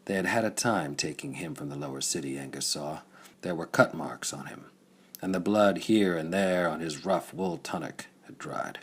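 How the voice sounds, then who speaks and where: Very deep husky male audiobook narrator. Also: normal speed. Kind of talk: scripted dramatic narration of pulp science fiction.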